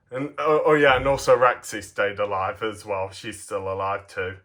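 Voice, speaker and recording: A man giving a casual, enthusiastic show-and-tell as a hobbyist, rambling and halting in mid-sentence. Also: fairly low pitch, steady tone, a bit bright. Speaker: hobbyist presenter of custom toy figures.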